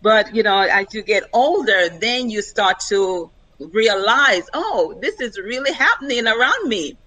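A woman's voice: fairly high pitch, lively intonation, measured pace, bright, forceful tone, no echo, poor audio. An older woman speaking casually and personally as a guest on a panel discussion.

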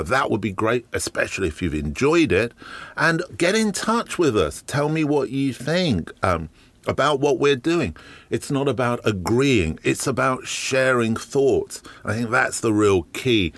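A man hosting a podcast from his shed, with a slightly croaky voice and a chatty, wry manner full of ums and uhs. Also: mid-range voice, big pitch swings, measured pace, no room sound. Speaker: podcast host. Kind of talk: casual solo podcast monologue. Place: garden shed.

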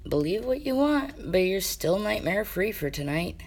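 A woman's voice: medium pitch, big pitch swings, moderate pace, a little mellow, pitched above the performer's own voice. A woman voicing a tired, stubborn but kindly young prince in a story. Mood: weary insistence.

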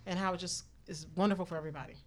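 A woman's voice: fairly low pitch, steady tone, racing. A woman hosting a casual, chatty radio show in an effusive, rambling way.

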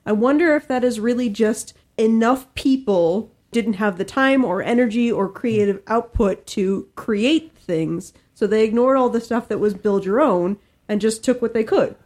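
A woman's voice: fairly high pitch, moderate pace, few pauses, darkish tone. A woman co-hosting a podcast in casual, conversational discussion. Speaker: podcast co-host.

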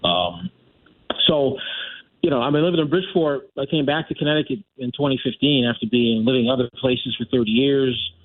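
A man's voice: medium pitch, a little fast, no echo. A middle-aged man talks casually as a radio guest, circling back and correcting himself as he goes. His voice comes through a thin, narrow phone line. The sound is dry, with no room around it. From United States. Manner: rambling with restarts and self-corrections. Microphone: telephone.

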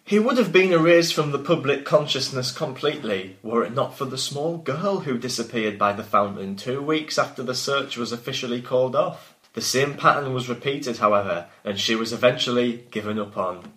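A man reads his own story aloud with a medium-pitched voice in an echoey room. He slips between narration and banter with the others. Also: moderate pace, few pauses, a bit bright. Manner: breaks off from reading to banter. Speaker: podcast host and author reading own work. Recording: roomy echoing sound.

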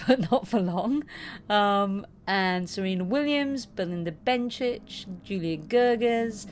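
A woman's voice: medium pitch, slight background noise. Chatty woman with lots of fillers. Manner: chatty, self-mocking, lots of fillers and restarts. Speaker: podcast co-host. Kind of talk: casual tennis chat.

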